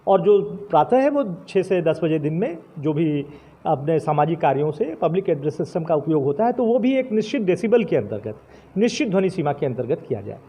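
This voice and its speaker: A man giving a formal interview as a senior official, speaking in a measured, halting way with English terms mixed in. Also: on the high side, very animated, dark tone, slightly rough.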